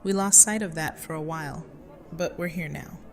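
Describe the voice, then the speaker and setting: A woman's voice: low-pitched, moderate pace, gravelly. A woman playing an earnest, resolute community leader seeking reconciliation in a fan audio drama. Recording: music under the voice.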